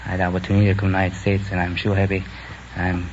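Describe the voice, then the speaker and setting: Young male contestant, German accent, quite low voice, earnest and halting; muffled vintage broadcast. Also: monotone. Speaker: quiz show contestant. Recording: dull, noisy vintage radio recording.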